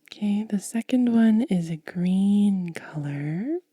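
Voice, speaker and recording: A woman doing soft-spoken ASMR, mostly whispering in a gentle, breathy, casual way as she narrates a hands-on demonstration close to the mic. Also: medium pitch, lively intonation, dry recording. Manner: gentle, unhurried, casual commentary. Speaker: ASMR video creator and host. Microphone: close mic, dry.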